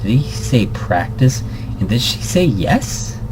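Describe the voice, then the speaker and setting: A man's voice: mid-range voice, big pitch swings, takes it slowly, background noise. A man hosting a casual let's play, chatting and reading a visual novel aloud in a jokey way. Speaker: host of a gaming series.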